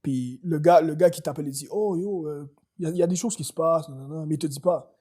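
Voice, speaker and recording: A young man with a Quebec French accent, co-hosting a casual chat and excitedly explaining things, mixing English slang into his French. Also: a little high, fast talker, husky. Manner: animated storyteller who mixes English slang into French. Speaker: podcast co-host.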